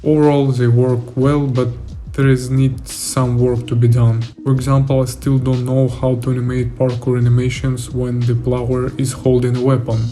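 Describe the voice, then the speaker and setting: A man's voice: mid-range voice, level tone, normal speed, mellow. A young man reading scripted devlog narration in a plain, matter-of-fact way, explaining his work. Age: young adult. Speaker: indie game developer narrating a devlog. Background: music.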